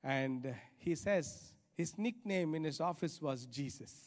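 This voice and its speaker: A man's voice: on the high side, animated, a little slow, darkish tone. A middle-aged man with an Indian accent preaching a sermon emphatically, repeating phrases for stress. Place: large church auditorium stage. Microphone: handheld microphone. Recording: reverberant hall sound.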